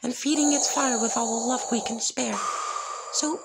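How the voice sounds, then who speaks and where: A storyteller voicing a kind, earnest little filly pony girl, pleading gently in rhyme. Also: medium pitch, fairly even intonation, moderate pace, creaky, very noisy, pitched above the performer's own voice, natural voice. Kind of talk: rhyming storybook fan fiction reading.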